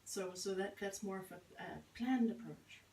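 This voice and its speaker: A woman's voice: mid-range voice, moderate pace, warm tone, echoey room, recorded from a distance, a little hiss or hum. A woman coaching a language learner, asking questions and summarizing his answers with frequent encouraging affirmations. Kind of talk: coaching interview.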